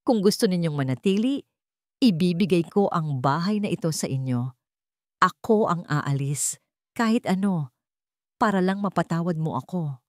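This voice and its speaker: A woman's voice: lowish voice, expressive, moderate pace, long pauses, no room sound, clean studio sound, lightly acted. Woman voicing a remorseful, pleading rich businessman. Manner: pleading, earnest. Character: remorseful wealthy male CEO, broken and pleading for forgiveness. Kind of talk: dramatic Tagalog audio story.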